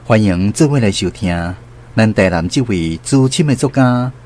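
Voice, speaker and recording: Animated, lowish-voiced male radio narrator. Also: normal speed. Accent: Taiwanese. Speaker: radio programme host and narrator. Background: faint music.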